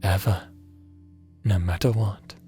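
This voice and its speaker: An actor in an audio drama playing a gentle, doting scientist, soft-spoken and tender as if talking to a small child. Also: male voice, low voice, fairly even intonation, slow pace. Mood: gentle, earnest. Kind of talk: scripted audio drama acting. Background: background music.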